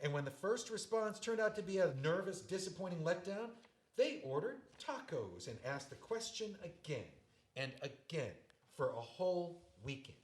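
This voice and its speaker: An actor playing a betrayed widower in an audio drama, mocking and seething with anger. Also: male voice, high-pitched, lively intonation, normal speed, breathy edge. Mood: angry. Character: bitter betrayed widower, man. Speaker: widower writing a memoir. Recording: long hall reverb.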